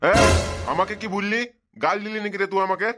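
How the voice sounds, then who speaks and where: A man's voice: high-pitched, steady tone, brisk, pushed and bright, faint music behind. A man voicing a grumpy, bald old bookshop keeper in a comedy cartoon, scolding and sarcastic. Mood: irritated, defiant. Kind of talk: comic cartoon dubbing.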